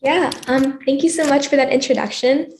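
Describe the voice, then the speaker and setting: Higher-voiced American teenage girl moderator. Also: steady tone, moderate pace, darkish tone, slight background noise. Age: teens, high school sophomore. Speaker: teen panel moderator. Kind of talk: prepared interview questions.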